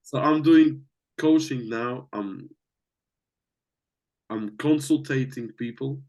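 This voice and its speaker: A man's voice: mid-range voice, slow pace, a little mellow. A young man from Latvia with a Latvian accent, a native Latvian and Russian speaker, talking casually and searching for his words. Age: young adult. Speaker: business coach. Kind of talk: casual conversation.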